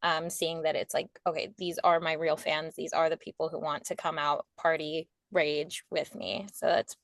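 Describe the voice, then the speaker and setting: A woman's voice: sits low, little pitch movement, normal speed, no room sound. A young woman interviewing musicians in an upbeat, encouraging, chatty way. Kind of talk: casual interview.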